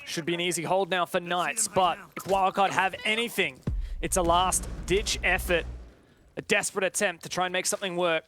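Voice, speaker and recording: A man's voice: sits high, steady tone, moderate pace, bright, forceful tone, soft background music. A man giving live esports play-by-play commentary with dramatic, colourful phrasing, as a match caster.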